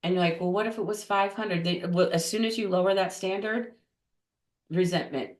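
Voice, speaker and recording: A woman hosting a podcast, talking in a lively, upbeat way. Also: fairly low pitch, a little fast, roomy sound. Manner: lively, upbeat, laughs easily. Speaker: podcast host. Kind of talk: conversational interview.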